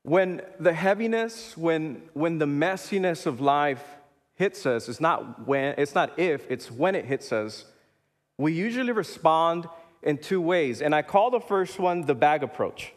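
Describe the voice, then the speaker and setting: A man in his thirties, a pastor preaching a sermon in a relaxed, conversational way, often pausing with fillers and restarting his sentences. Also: on the high side. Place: church sanctuary. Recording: live church hall with long reverb.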